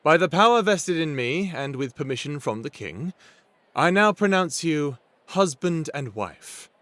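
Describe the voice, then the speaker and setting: A man playing a stately wedding officiant in an audio drama, speaking in a formal, measured, proclaiming manner. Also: fairly high pitch, animated, a bit bright, dry recording. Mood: ceremonial, proud. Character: stately male wedding officiant serving the king. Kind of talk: ceremonial speech in audio drama.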